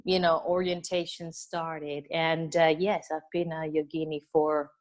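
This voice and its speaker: Chatty woman from Venezuela, lowish voice. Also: little pitch movement, a little slow. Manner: chatty and expansive, with frequent "you know" and soft laughs. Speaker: interview guest, wellness business coach. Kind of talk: casual interview conversation.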